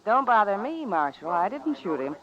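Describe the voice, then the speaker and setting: A woman's voice: mid-range voice, very animated, normal speed, a crowd in the background, close to an everyday voice. Brazen, swooping frontier woman, played by an actress. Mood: dismissive. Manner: insolent, teasing, theatrical. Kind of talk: 1950s western radio acting. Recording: dull, noisy vintage radio recording.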